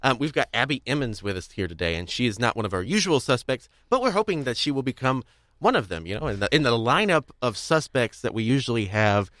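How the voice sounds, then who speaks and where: A young man casually hosting a podcast, talking in a rambling, halting way with frequent restarts. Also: medium pitch, big pitch swings, fairly bright tone, no room sound, clean studio sound. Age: young adult. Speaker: podcast host.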